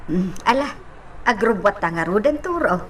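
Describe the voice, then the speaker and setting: A woman's voice: mid-range voice, lively intonation, moderate pace, a little hiss or hum, natural voice. A woman acting a sturdy, industrious older woman in an Ilocano radio drama, homely and conversational. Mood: determined, eager.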